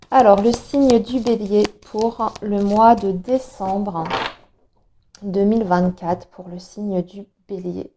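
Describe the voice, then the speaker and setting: A woman with a slight cold and a bit of hoarseness in her throat, giving a monthly tarot reading in a hesitant, explanatory way with many filler sounds. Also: mid-range voice, fairly even intonation, slow, long pauses, mellow, slight background noise. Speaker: tarot reader.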